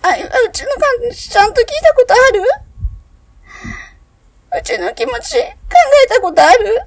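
A woman's voice: very high voice, very animated, normal speed, no room sound, heavily acted. A woman playing a hurt, pleading young woman in a radio drama, speaking a western Japanese Chugoku dialect. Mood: upset, defiant. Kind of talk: amateur radio drama acting.

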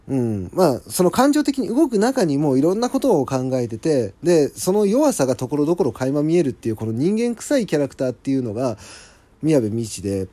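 Male solo host, casual and earnest, soft warm tone with lively intonation, close dry sound. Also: medium pitch, dry recording. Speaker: solo audio show host and amateur scriptwriter. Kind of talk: casual solo talk about own creative project.

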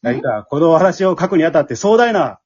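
A man hosting a casual chat, chatty and quick to respond to the others. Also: fairly high pitch, sing-song, a little mellow, husky, lo-fi sound. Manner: chatty, backchannels often, laughs readily. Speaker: host and MC of an after-talk.

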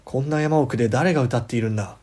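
A man's voice: medium pitch, quick pace, a little mellow, lightly acted. A man voicing a greedy young male villager from a folk ghost story, scheming, eager and pushy. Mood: puzzled.

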